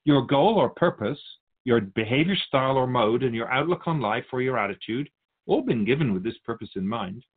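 Wise otherworldly soul guide, played by a man: patient, didactic, measured, gently chiding, expressive pitch movement, for spiritual radio dialogue. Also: narrow, tinny audio, lightly acted. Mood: patient, explaining.

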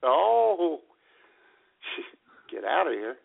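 Sardonic male comedy radio co-host, gravelly and very expressive, thin phone-quality audio. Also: fairly high pitch, drawn-out words. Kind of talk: casual comic banter. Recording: tinny, narrow phone-like sound.

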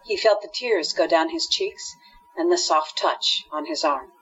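A woman's voice: fairly low pitch, measured pace, fairly bright tone. A woman narrating a scripted radio drama, reading scene headings and action. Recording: dull lo-fi audio.

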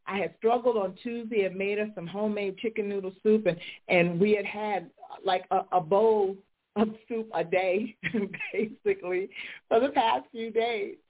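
Fervent woman preaching over a phone line. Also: medium pitch. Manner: fervent, decreeing, rising to preaching fervor. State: recovering from illness, congested. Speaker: talk show host. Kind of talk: faith-based radio talk, preaching. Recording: tinny phone-line sound.

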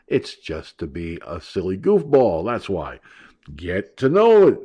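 A man hosts an online talk radio rant in a stuffed-up, nasal voice. He sounds brash, crude and mocking. His pitch sits fairly low. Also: wildly swooping pitch, unhurried, warm tone, husky.

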